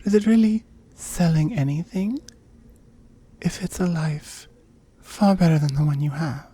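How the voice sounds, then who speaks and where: A woman voicing a seductive, smug oni demon in an intimate ASMR roleplay, breathy and often whispering as she slowly coaxes and teases. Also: low voice, dark tone. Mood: intense, persuasive. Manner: slow, coaxing, teasing.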